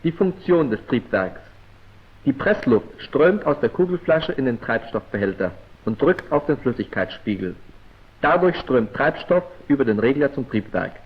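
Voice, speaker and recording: A man's voice: mid-range voice, big pitch swings, moderate pace, breathy edge. Muffled 1940s film narrator, male. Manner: formal, precise, clipped declamatory delivery. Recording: muffled, dull vintage 1940s film soundtrack.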